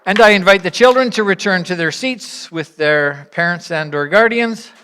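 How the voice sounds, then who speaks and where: A woman leads a congregation through a reflective sermon. She speaks in measured, earnest phrases, often breaking them into short pieces. She poses rhetorical questions and leaves pauses between her points. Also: fairly low pitch, fairly bright tone, slight background noise. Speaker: church speaker leading an interactive service.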